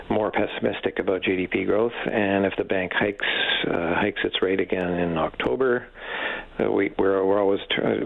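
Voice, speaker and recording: Low, gravelly man, halting expert. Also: phone-quality sound. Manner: measured, halting, hesitant phrasing. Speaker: economics professor, interview guest. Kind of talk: expert commentary in a radio interview.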